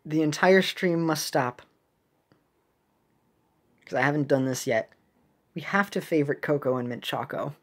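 A game streamer giving casual, halting commentary, rambling and half talking to themselves. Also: mid-range voice.

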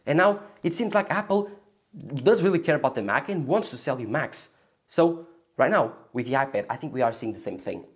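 A man's voice: medium pitch, animated, a little fast. Man rambling through a tech opinion vlog. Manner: enthusiastic, repetitive, speculating aloud. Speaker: tech video host. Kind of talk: casual opinion vlog to camera.

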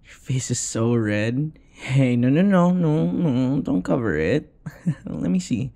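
A man playing an affectionate, teasing young boyfriend in an intimate comfort audio roleplay, speaking in a soft, tender murmur. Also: medium pitch, animated, slow pace, vocal fry. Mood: playful teasing.

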